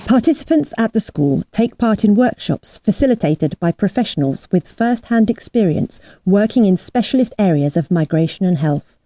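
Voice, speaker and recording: Woman, documentary voice-over, measured, formal, very warm dark tone, dry studio sound. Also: mid-range voice, lively intonation, no echo. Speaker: narrator. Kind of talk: scripted documentary narration.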